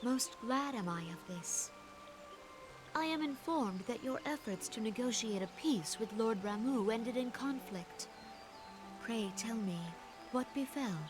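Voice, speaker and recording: Solemn, high-voiced young female forest leader. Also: lively intonation, music in the background. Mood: gracious. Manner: formal, measured, earnest. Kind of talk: scripted fantasy game dialogue, archaic formal speech.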